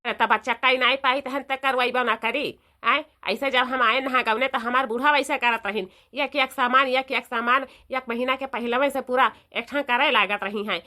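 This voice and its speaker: A woman's voice: high-pitched, fairly even intonation, moderate pace, sharp tone. A woman voicing a talkative, devout older village mother-in-law in a comedy cartoon, emphatic and folksy, repeating phrases in an eastern Uttar Pradesh accent. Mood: proud, devout.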